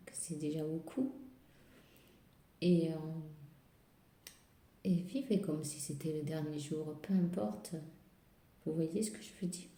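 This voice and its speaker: A woman with a slight cold and a hoarse voice, giving hesitant spiritual advice to viewers full of fillers and restarts. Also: low-pitched, mellow. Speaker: tarot and spiritual reader. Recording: roomy echo.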